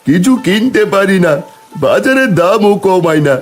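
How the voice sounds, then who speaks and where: A man's voice: high-pitched, sing-song, moderate pace, faint music behind. A man voicing a poor, despairing village man in a cartoon, pleading plaintively with a Bengali accent. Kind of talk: cartoon dialogue acting.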